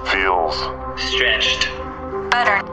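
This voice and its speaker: An actor playing an eerie ghost that speaks in broken, stitched-together fragments with shifting, distorted radio-like pitch, in a video game. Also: huge pitch swings, very slow, over loud music, full character voice. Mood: cryptic, menacing.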